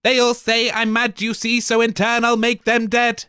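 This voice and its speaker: A man's voice: very high voice, monotone, moderate pace, bright, forceful tone, clear, resonant tone, no echo, studio quality, voice pushed up. A man voicing a mad, gleefully menacing robot in rhyming verse. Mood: manic.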